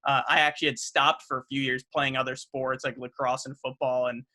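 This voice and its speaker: A man's voice: medium pitch, flat delivery, a little fast, pushed and bright. A young man, a race car driver, answering podcast questions in an earnest, upbeat way, with many fillers and restarts. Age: young adult. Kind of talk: casual podcast interview.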